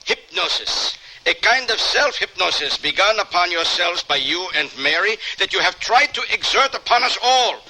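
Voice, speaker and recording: A man's voice: high voice, expressive, moderate pace, hardly any pauses, noisy background. An actor playing a scornful, mocking male skeptic challenging a seance in an old-time radio drama. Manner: mocking, clipped.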